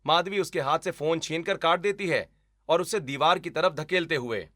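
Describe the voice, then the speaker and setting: A man's voice: fairly high pitch, normal speed, sharp tone, slight background noise. A man giving scripted, dramatic narration of a story as its narrator.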